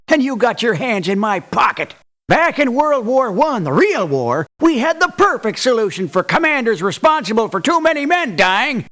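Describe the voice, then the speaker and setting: A man's voice: very high voice, a bit bright, heavily acted. A man voicing a crusty, condescending old war veteran mercenary in a video game, with a folksy, patronizing delivery. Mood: indignant, grumbling. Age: older. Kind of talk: video game character voice lines.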